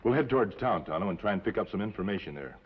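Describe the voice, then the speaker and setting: An actor playing a calm, serious, authoritative hero in an old television western, speaking articulately. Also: male voice, low voice, very fast. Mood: grave. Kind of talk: 1950s television western acting. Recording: muffled, noisy old TV western soundtrack.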